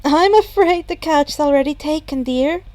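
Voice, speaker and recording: A woman voicing a kindly mother in a gentle, polite, slightly flustered manner for a story. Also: sits high, moderate pace, dry recording, close to an everyday voice. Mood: kindly, apologetic. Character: kindly, softly chuckling mother of a teenage boy.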